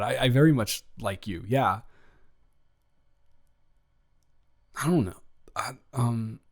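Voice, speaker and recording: A man performs a young male college student with a mid-pitched voice, nervous and earnest about his feelings. He rambles and trips over words, restarting often. The style is an intimate, improvised audio drama between close friends. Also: close to an everyday voice. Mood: flustered, shy.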